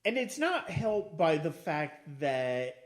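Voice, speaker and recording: A man casually discussing films as a podcast host, haltingly repeating and restarting his phrases for emphasis. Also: fairly high pitch, sing-song.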